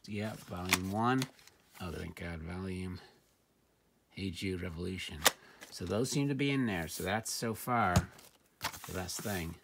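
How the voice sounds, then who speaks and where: A middle-aged man talks off the cuff in a low, gravelly voice, dropping dry, muttered asides as he sorts through items. It is a very noisy home recording, with clicks from things being handled. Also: unhurried, pauses often. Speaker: reseller showing thrift finds. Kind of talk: casual off-the-cuff unboxing commentary. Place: indoor room at home, at a table.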